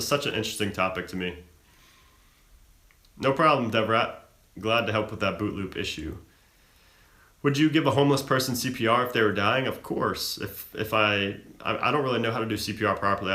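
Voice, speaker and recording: A man's voice: on the low side, pushed and bright, roomy sound. A young man from the American South, hosting a live stream and reading viewer questions aloud before answering them offhand. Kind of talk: casual live Q&A chat.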